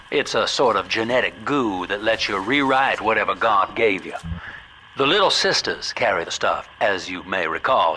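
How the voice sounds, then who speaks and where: A scheming Southern American businessman in a video game, with a bright, forward voice. He talks in folksy, avuncular sales patter, always working an angle. Faint noise sits behind the voice. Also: lowish voice, poor audio. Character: folksy, scheming male businessman, smooth opportunist guide. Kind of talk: video game voice acting, radio guidance.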